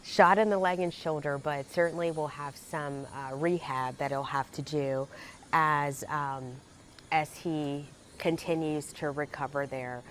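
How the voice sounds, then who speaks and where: A woman's voice: low-pitched, fairly even intonation, a little slow, a little hiss or hum. A woman anchoring live breaking news coverage, talking conversationally with frequent fillers and restarts.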